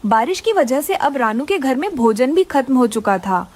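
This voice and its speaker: A woman's voice: sits high, sing-song, moderate pace, darkish tone. A woman narrating a scripted children's story.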